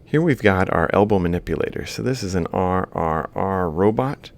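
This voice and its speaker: A man giving an informal, step-by-step lecture, explaining haltingly as he works through examples. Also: low voice, expressive, creaky. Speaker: university robotics lecturer. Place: screen-recorded lecture voice-over.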